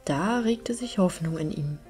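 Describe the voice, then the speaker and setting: Low-voiced woman reading a fairy tale. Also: lively intonation, measured pace, darkish tone. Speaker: audiobook narrator. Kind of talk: fairy tale narration, scripted. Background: music.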